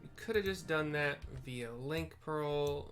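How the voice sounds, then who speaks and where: A man's voice: a little high, a little slow, a bit bright, soft background music. A man giving casual commentary as he plays a video game, rambling through offhand asides. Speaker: gamer, channel host.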